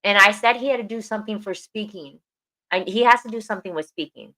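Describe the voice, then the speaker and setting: A woman's voice: mid-range voice, fast, pushed and bright, poor audio. A woman co-hosting a podcast, talking earnestly and repeating phrases for emphasis. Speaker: podcast co-host. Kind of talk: casual podcast chat.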